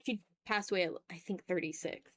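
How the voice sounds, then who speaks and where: A woman's voice: fairly low pitch, brisk, fairly bright tone, no room sound. A woman hosting a casual art livestream, chatting in a playful, slangy, exclamatory way while she draws, close to the mic.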